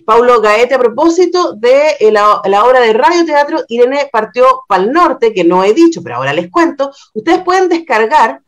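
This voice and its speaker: A woman's voice: a little high, animated, moderate pace, hardly any pauses. A woman with a Chilean accent hosting a conversational radio interview, reflective and often adding small tag questions as she talks. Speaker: radio host interviewing a guest.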